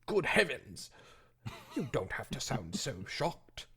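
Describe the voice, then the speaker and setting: A man voicing a pompous pegasus pony in a stilted, over-proper and drawn-out manner, with a husky, creaky voice. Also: medium pitch, big pitch swings, sharp tone, pitched above the performer's own voice, heavily acted. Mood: affected, proud.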